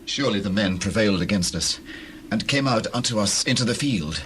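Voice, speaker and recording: A man plays a subdued male messenger from the battlefield in dramatized scripture. His somewhat low voice reports soberly in old-fashioned wording, with faint ambient noise. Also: expressive, brisk, a bit bright, rough recording. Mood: sombre.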